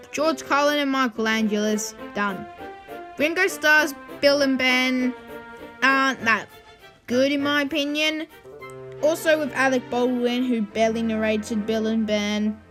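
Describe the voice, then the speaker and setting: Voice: medium pitch, sharp tone. A video host giving informal opinion commentary in a ranking video, with conversational asides.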